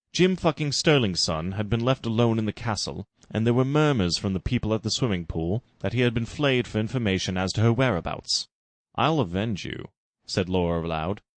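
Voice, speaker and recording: Deadpan low-voiced male storyteller. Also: fairly quick, no room sound. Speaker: reader. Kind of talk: reading a parody fan story aloud.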